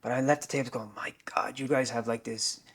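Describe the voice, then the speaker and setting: A man hosting a podcast in casual, chatty talk that keeps circling back with "like." He talks fast at a mid pitch. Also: fairly even intonation. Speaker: podcast host. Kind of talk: podcast conversation.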